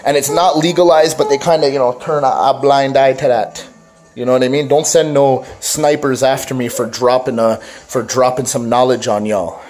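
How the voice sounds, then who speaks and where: A man's voice: mid-range voice, fairly even intonation, moderate pace, darkish tone, soft background music. Rambling, joking man vlogger. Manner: rambling, profane, self-mocking. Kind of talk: casual joking vlog chat.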